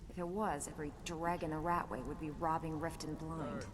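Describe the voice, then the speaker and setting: A brusque, boastful female master thief from a fantasy game, voiced by a woman, talks in a lowish, no-nonsense voice. There is clear background game noise. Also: steady tone, fairly quick, natural voice. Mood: brusque, lecturing. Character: cocky, hard-edged female master thief. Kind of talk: fantasy video game dialogue.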